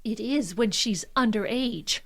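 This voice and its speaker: A woman's voice: fairly high pitch, measured pace. An actress playing a haughty, easily scandalized wealthy mother in a 1920s period audio drama, speaking formally. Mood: outraged. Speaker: actor.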